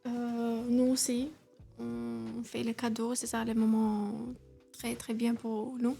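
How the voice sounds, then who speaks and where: A woman in her twenties chatting as a guest in short, halting phrases. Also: a little high, completely flat delivery, creaky, mellow, faint music behind. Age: 20s.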